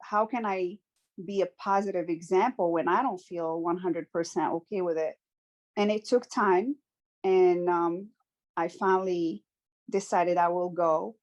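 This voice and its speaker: A woman from Morocco, speaking as a guest in a conversational interview. She talks earnestly and reflectively, often pausing and restarting her sentences. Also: on the low side, steady tone, darkish tone. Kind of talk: conversational personal testimony.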